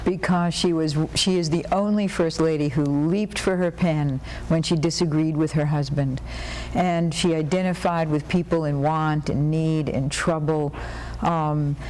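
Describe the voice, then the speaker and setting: A woman biographer explaining her subject earnestly and passionately, pausing with frequent "um"s. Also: sits low, steady tone, a little mellow, a little hiss or hum, poor audio. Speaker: biographer guest.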